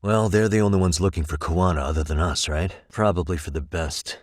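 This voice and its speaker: An actor playing a composed, determined male detective in a video game, speaking in a measured, probing way with dry wit. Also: sits low, dry recording. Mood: composed, focused. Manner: measured, probing, dryly wry questioning. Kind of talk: English video game voice acting.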